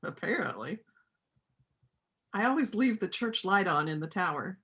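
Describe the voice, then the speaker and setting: A woman's voice: mid-range voice, very animated, normal speed, fairly bright tone. A woman in a readers' theater play acting a stern, practical rural veterinarian who talks in a terse, matter-of-fact way.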